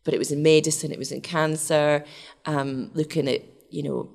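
A middle-aged woman from the west of Scotland with a Scottish accent, telling stories in a relaxed, animated way as an interview guest. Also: sits low, normal speed, gravelly. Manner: chatty, animated, laughs often. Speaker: interview guest, research scientist. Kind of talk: casual storytelling interview.

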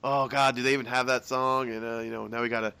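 A young man in casual chat, excited and rambling, swearing freely. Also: medium pitch, fast talker, gravelly, clear, resonant tone, no room sound.

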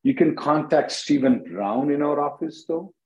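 A man hosting a conference call as an immigration attorney, answering callers with brisk, probing questions and often repeating his phrases. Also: fairly high pitch, animated, darkish tone. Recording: dull lo-fi conference call audio.

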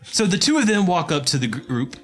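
Lively, chatty game master narrating. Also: male voice, a little high, brisk, faint music behind.

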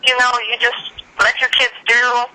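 A young woman with a Ukrainian accent talks openly as a podcast guest. Her voice is very bright and crisp, and her speech wanders warmly through fillers and small laughs. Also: a little high, monotone, normal speed, poor audio. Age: young adult. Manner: chatty, giggly, many fillers. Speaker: guest, actress and musician. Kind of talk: casual interview chat.

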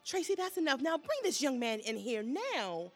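A woman's voice: sits high, big pitch swings, moderate pace, faint music behind. An actress playing a middle-aged pastor's wife and protective mother in an audio drama, fretful but firm and emphatic.

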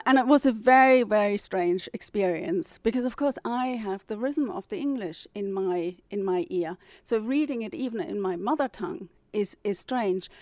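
A woman's voice: a little high, a little mellow, no echo. A woman whose first language is German, a publisher and novelist, answering interview questions in a thoughtful way, with fillers and restarts.

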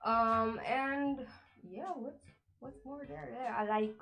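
A twenty-one-year-old woman guest on a radio show, introducing herself hesitantly with many pauses and fillers. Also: on the high side, steady tone, fairly bright tone. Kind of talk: casual interview chat.

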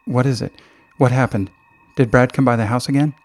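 A man's voice: mid-range voice, a little fast, darkish tone, no room sound, lightly acted. A man reading the part of a worried young college woman in an audiobook, with a firm, urging, questioning manner. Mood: alarmed, insistent.

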